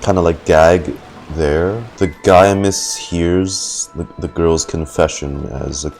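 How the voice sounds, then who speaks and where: Deep-voiced man, slow casual reacting. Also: fairly even intonation, vocal fry, a little mellow. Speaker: streamer reacting to a game. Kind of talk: casual live reaction commentary. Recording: game music in the background.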